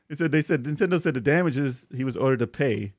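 A man's voice: medium pitch, no room sound, clean studio sound. A man co-hosting a video game podcast, reading out news stories and laughing often between lines. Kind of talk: casual podcast chat.

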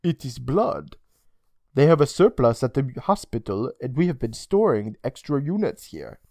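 An adult reader voicing a sober, sinister vampire husband in a grave, deliberate manner for a story. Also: darkish tone, no echo, clean studio sound, natural voice. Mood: matter-of-fact. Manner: grave, low-key, deliberate.

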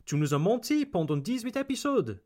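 A man voicing an indignant French-speaking listener who protests in a quick, accusing outburst. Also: high voice, sing-song, a little mellow, pitched above the performer's own voice.

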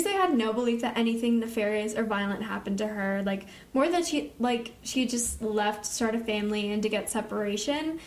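A woman's voice: fairly high pitch, a little mellow. A young woman co-hosting a podcast, chatting casually with lots of fillers and casual swearing. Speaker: podcast co-host. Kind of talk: casual chat. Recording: echoey room.

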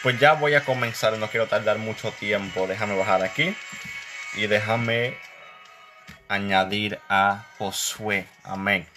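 A fervent man hosts a church live stream from his computer, greeting each viewer with a blessing. His voice sits low. Worship music plays clearly behind him. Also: unhurried. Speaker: host of an online live interview. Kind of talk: preacher-style live stream hosting.

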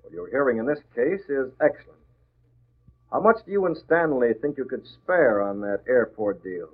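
An actor playing a tough, sardonic masked vigilante in 1940s radio drama style, speaking in clipped, menacing phrases. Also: male voice, medium pitch, lively intonation, moderate pace, lightly acted. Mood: sardonic, cool. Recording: muffled, narrow-band old radio broadcast.

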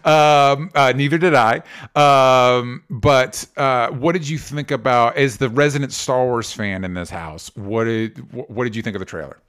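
A man's voice: medium pitch, a little slow, few pauses, fairly bright tone, clear, resonant tone. A man co-hosting a casual podcast, rambling, with frequent restarts and fillers. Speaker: podcast co-host.

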